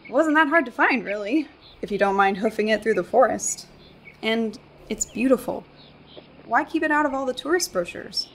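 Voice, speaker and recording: A woman acts a young tourist in a radio drama, skeptical and quick to sarcasm. Her voice is fairly high, with faint birdsong behind it. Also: animated, fairly bright tone, a little hiss or hum. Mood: chatty, doubtful. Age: young adult. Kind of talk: radio play acting. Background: birdsong, woodland ambience.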